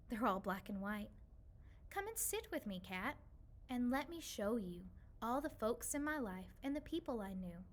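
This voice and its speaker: A woman voicing a kindly, lonely old woman in a children's storybook, gentle and soothing as she reminisces. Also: mid-range voice. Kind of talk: children's storybook read-aloud.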